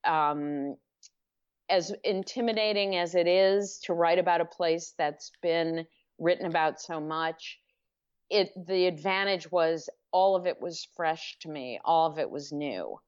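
A middle-aged woman speaking as an author in a podcast interview, thoughtful and measured, often restarting her sentences. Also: lowish voice, smooth. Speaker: author and guest. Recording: dull lo-fi podcast audio.

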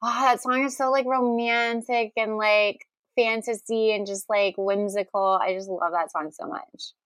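A woman co-hosting a podcast in casual comedic chat, excitable and giggly in the way she talks. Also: mid-range voice, measured pace, dry recording. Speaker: podcast host.

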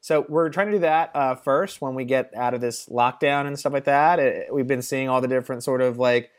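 Rambling, casual man producer. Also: mid-range voice. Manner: rambling, fast asides, casual swearing. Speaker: film producer guest. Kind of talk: casual podcast interview.

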